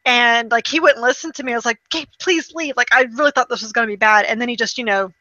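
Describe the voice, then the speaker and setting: A woman talks in a casual, expressive storytelling style, slipping into quoted reactions. Her voice sits on the high side and sounds clear-edged and bright. The recording is dry, with very little room sound. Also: fast, no echo, lo-fi sound. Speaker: podcast co-host reviewing movies. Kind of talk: casual chat, animated anecdote-telling.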